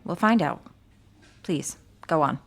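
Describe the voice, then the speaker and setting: A woman's voice: low-pitched, expressive, takes it slowly, exaggerated voice. A woman playing a matter-of-fact police detective in a naturalistic audio drama, asking direct, clipped, probing questions. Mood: composed, apologetic.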